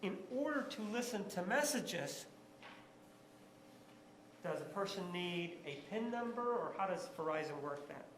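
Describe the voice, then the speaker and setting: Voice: medium pitch, lively intonation, unhurried, pauses often. Pointed courtroom lawyer in an echoey room. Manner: pointed leading questions ending in short tags. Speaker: lawyer questioning witnesses. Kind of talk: courtroom cross-examination. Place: large indoor courtroom. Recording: echoey courtroom, faint background noise.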